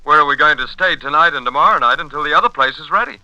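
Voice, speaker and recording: A man's voice: fairly high pitch, bright, forceful tone, no echo. A man playing a worried, insistent middle-aged husband in a 1940s radio comedy. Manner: brisk, insistent. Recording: dull, dry 1940s radio recording.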